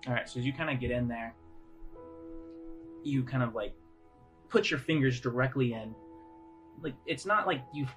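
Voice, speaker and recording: A man acting as game master, describing scenes in a halting, conversational, improvised way. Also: medium pitch, fairly bright tone. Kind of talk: improvised tabletop game narration. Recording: music playing under the voice. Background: background music.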